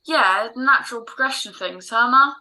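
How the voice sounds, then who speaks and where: A woman's voice: a little high, fairly quick, sharp tone. A woman playing an earnest, restless, dutiful son in a radio drama. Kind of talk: classic radio drama acting.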